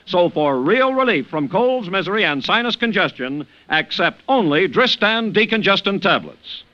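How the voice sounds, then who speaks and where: High-pitched, animated male radio pitchman. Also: normal speed. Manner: emphatic, polished sales pitch. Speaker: commercial announcer. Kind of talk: 1950s radio advertisement read. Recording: dull vintage radio recording.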